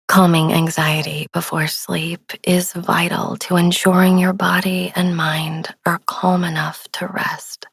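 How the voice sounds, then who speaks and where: Female sleep podcast host: low, gentle and breathy with a crackle of fry, soothing guided-relaxation read. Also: no room sound. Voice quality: soft, breathy. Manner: gentle, soothing, unhurried delivery. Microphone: close studio microphone, dry.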